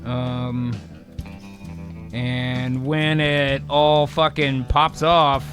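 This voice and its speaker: A man working as a voice actor, narrating an improvised comedic radio drama in a casual, animated way. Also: medium pitch, very slow, faint music behind.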